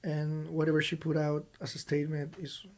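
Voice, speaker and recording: Podcast guest from peru, medium pitch voice, chatty music person, repeats words a lot and quotes stuff. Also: monotone. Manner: halting, repeats words, self-quoting. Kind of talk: casual chat, anecdotes.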